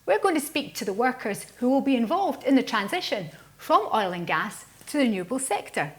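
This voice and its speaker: Female presenter, lively higher voice, scripted link delivery. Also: fairly quick.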